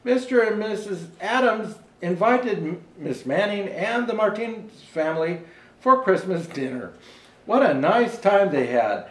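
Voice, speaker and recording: A man reading a children's storybook aloud as Santa, reading haltingly and pausing for asides to show the pictures. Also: high voice, animated, fairly bright tone, roomy sound, poor audio. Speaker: storyteller presenting as Santa Claus.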